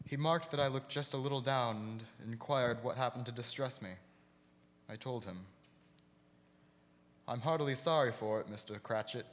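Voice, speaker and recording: An actor playing a gentle, grieving father and husband in live radio theater, speaking tenderly and with measure. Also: medium pitch, close to an everyday voice. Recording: reverberant hall.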